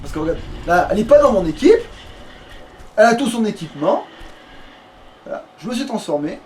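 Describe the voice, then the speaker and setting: A man's voice: high-pitched, big pitch swings, fairly quick, a little mellow. A man commenting casually on his game, with crude joking slang. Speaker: let's player. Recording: noisy home recording.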